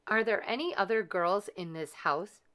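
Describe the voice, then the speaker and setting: A woman's voice: mid-range voice, moderate pace, a bit bright, natural voice. A woman voicing a dutiful, firm male Grand Duke in a storybook, speaking formally and with measure.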